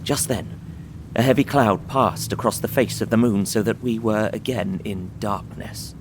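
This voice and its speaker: A young man playing a frightened, earnest solicitor recounting his journey in a formal, expressive audio-drama narration. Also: lowish voice, noisy background, lightly acted. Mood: awed, fearful. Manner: formal, expressive, deliberate storytelling. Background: music behind the voice.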